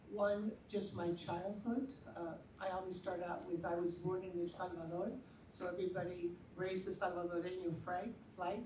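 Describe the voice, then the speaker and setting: A woman's voice: on the low side, warm tone, slight background noise. Reflective woman, echoey far-off hall. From San Francisco, United States. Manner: reflective, modest. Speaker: panelist theologian. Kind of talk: personal storytelling on a panel. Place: conference hall.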